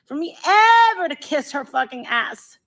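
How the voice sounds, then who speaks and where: A woman's voice: high-pitched, moderate pace, bright, forceful tone. A woman talking casually in a video, with a crude, combative way of speaking.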